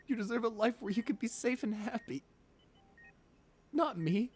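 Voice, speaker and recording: A man's voice: very high voice, husky. Man acting an anguished, devoted ruthless lover. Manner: pleading, intimate, halting and emotional. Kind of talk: romantic ASMR roleplay audio drama.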